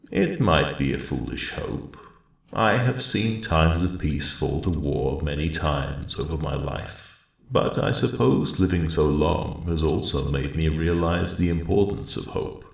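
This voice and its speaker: An ancient, wise dragon speaks in an extremely deep, heavily creaking rumble. His delivery is slow, measured and gently formal, kindly and a little weary, as in an intimate ASMR roleplay, with a roomy echo around the voice. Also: exaggerated voice. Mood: earnest, hopeful. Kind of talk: ASMR roleplay audio drama, spoken to the listener.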